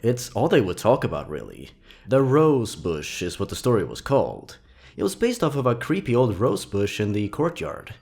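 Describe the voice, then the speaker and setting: A man narrating scripted creepy stories in a dramatic, suspenseful, theatrical way with drawn-out pauses. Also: on the low side, huge pitch swings, creaky, a little mellow. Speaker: horror story narrator and channel host.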